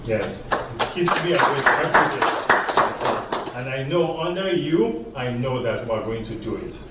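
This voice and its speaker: A man's voice: medium pitch, animated, unhurried. A man from Nigeria, earnestly and deferentially addressing a leader at a meeting, often affirming with "yes". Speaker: diaspora businessman addressing the president. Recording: distant echoey room recording with background noise.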